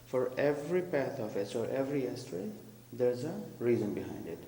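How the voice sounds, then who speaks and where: A man's voice: medium pitch, animated, brisk, mellow. A man giving a sermon and commentary who explains terms and questions the congregation. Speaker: preacher. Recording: echoey hall with faint hum.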